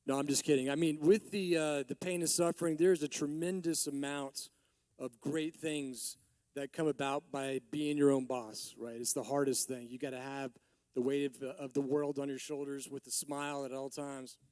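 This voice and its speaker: A man in his forties from Texas, a business owner giving a rambling conference talk with many restarts, his voice a little hoarse from allergies. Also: mid-range voice, level tone, normal speed, darkish tone, no room sound. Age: 40s. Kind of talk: conference speech.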